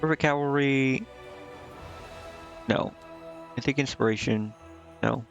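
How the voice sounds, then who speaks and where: A man streaming a video game, casually thinking out loud and reading menu text to himself, with some offhand swearing. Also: medium pitch, slow, gravelly, dull audio, faint music behind. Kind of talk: casual live gameplay commentary, muttering and reading menu text aloud.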